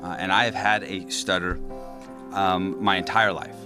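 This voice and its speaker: A man in public office who talks with a stutter, pausing often on fillers before hard words. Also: deep voice, steady tone. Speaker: state politician. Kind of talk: speaking at a public event. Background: background music.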